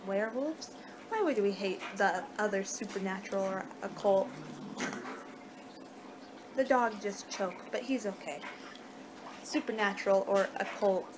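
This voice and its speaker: Young American woman, noisy homemade recording. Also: medium pitch. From United States. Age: young adult. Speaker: ASMR roleplayer playing a vampire. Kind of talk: casual ASMR roleplay. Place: living room indoors at home. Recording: noisy amateur webcam recording.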